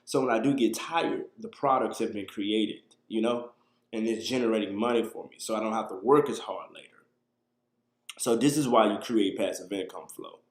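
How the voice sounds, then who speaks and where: Brief: man, 30s, deepish voice, loose casual livestream host, echoey little room on a webcam mic. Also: moderate pace. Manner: loose and conversational, answers viewer questions. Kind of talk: casual live talk to viewers. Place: small room indoors.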